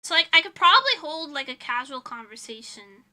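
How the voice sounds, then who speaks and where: A woman's voice: high voice, sing-song, brisk, sharp tone. A young woman streaming as a VTuber, chatting casually with her viewers in a rambling, giggly way.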